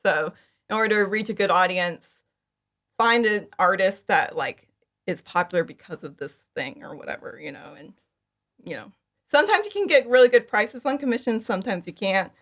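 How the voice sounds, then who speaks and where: A woman speaking as a guest in a casual interview, giggly, with animated asides and lots of "like". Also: medium pitch, moderate pace. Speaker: podcast guest, fan audio drama creator.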